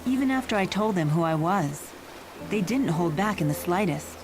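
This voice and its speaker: A woman's voice: on the low side, expressive, moderate pace, over music, lightly acted. A woman playing a dignified, earnest spirit lord in a video game, composed, formal and matter-of-fact. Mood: wistful, composed.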